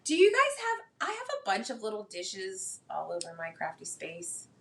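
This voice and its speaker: A woman co-hosting a card-making live stream, chatting in an animated, giggly way. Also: medium pitch, normal speed, creaky, recorded from a distance. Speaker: co-host.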